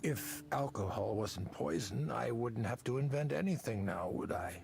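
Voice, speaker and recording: An actor voicing a self-assured, philosophical tech visionary in a visual novel game, musing aloud in an expansive, rhetorical manner. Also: male voice, mid-range voice, lively intonation, normal speed, gravelly, crowd noise. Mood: genial, wry. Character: smooth-talking male tech visionary, philosophical, self-assured, whiskey-loving.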